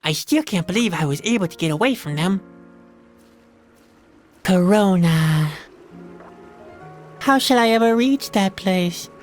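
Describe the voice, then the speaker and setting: A woman's voice: medium pitch, expressive, measured pace, pauses often, soft background music, natural voice. A woman voicing a young escaped prisoner in a video game, gloomy and anxious, given to wry muttered asides to themself. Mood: wry, uneasy. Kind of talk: video game adventure dialogue.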